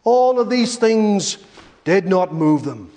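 Male preacher, high-pitched voice, very measured pace, emphatic rhetorical sermon delivery. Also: big pitch swings, mellow. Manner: emphatic, rhetorical repetition with dramatic pauses. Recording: long reverberant hall echo.

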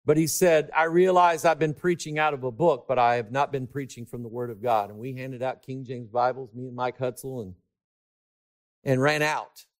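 A man preaching a sermon, swinging from shouted emphasis to hushed asides and stretching key words to drive them home. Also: medium pitch, fairly even intonation, normal speed.

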